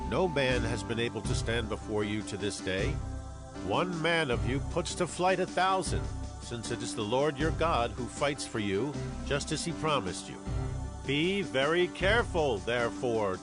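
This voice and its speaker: An actor playing an aged, solemn and commanding Israelite leader in a dramatized Bible, declaiming gravely in exhortation. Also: male voice, medium pitch, lightly acted. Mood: proud, assuring, then stern warning. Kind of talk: dramatized Bible audio, oratory. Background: music.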